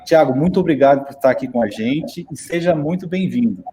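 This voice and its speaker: A man's voice: medium pitch, expressive, brisk, warm tone, slight background noise. A man hosting a virtual interview for students, speaking with hesitant repeats and many fillers. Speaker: interview host. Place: virtual studio.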